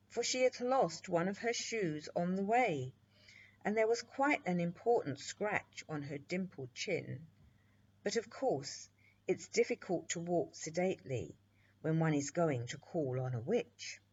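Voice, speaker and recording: A woman reading a children's bedtime story in an expressive, deliberate storytelling manner, on a muffled recording. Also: lowish voice, husky. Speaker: storybook reader.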